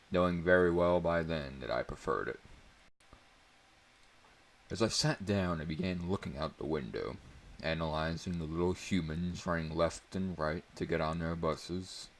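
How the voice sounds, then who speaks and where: A man's voice: sits low, normal speed. A man reading a story aloud as narrator in a dramatic audiobook style.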